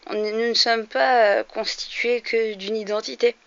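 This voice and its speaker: A forceful, bright-toned woman in her forties from France, talking straight to camera with earnest conviction and plenty of hesitant fillers. Her voice sits a little high and bright. The recording is dry and a bit dull, like a lo-fi home setup. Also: steady tone, normal speed, smooth, no room sound. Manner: earnest, grows heated and emphatic, frequent hesitations. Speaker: vlogger. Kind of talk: direct-to-camera opinion monologue.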